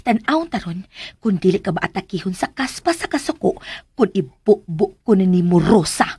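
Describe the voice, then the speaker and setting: A woman's voice: mid-range voice, very animated, moderate pace, a little mellow, slightly rough, dry recording, heavily acted. A woman acting a spiteful, hot-tempered, bossy schemer in a melodramatic radio drama. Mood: scheming. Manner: bossy, exclaiming, scolding.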